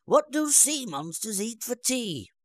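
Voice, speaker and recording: A man reading a storybook aloud and voicing a gentle, mild-mannered knight in a soft, raised voice. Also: extremely high pitch, big pitch swings, unhurried, pitched above the performer's own voice, full character voice.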